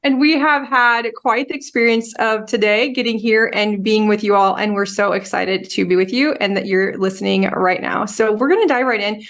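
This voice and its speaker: A woman's voice: mid-range voice, normal speed, few pauses, fairly bright tone. A woman co-hosting a podcast, opening with a gushing, enthusiastic introduction. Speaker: podcast co-host.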